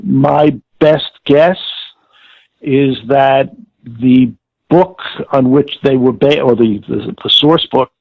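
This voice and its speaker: A man answering interview questions as a producer, measured and wry, searching for his words. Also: mid-range voice, creaky, no room sound. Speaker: audiobook producer being interviewed. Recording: tinny, dry phone line.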